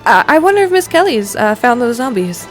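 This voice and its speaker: A woman's voice: a little high, big pitch swings, fairly quick. A woman playing a young actress at rehearsal in an audio drama, casual and a little hesitant. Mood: casual, evasive. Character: young actress at rehearsal, friendly but evasive. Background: music.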